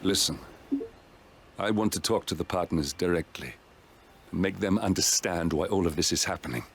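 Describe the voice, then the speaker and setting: A man's voice: sits low, normal speed, slight background noise. An actor playing a serious, determined male handler briefing an assassin in a video game. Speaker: mission handler. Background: music.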